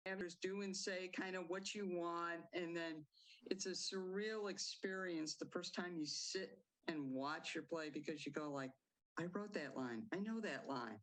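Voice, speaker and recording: An older woman playwright answering interview questions in a halting way, with fillers and laughs. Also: on the low side, low-quality recording.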